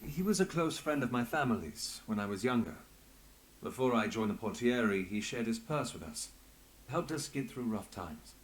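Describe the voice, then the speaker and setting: An actor playing a confident, dry-witted investigator in a fantasy video game, with a commanding, wry manner. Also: male voice, mid-range voice, lively intonation, moderate pace, natural voice. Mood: reflective. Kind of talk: dramatic video game dialogue.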